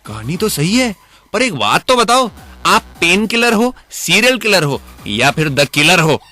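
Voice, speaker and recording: A wisecracking young male detective in a radio thriller. His voice is high and sharp, full of teasing, rustic drawling banter. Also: big pitch swings, unhurried, exaggerated voice. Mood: mocking, probing. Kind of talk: Hindi radio crime drama acting.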